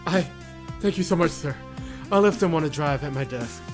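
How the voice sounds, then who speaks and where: A man's voice: a little high, animated, moderate pace, voice pushed up, natural voice. A man voicing a flustered, eager young female employee in a visual novel, nervous, stammering and deferential. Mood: grateful, embarrassed. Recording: loud game music behind voice.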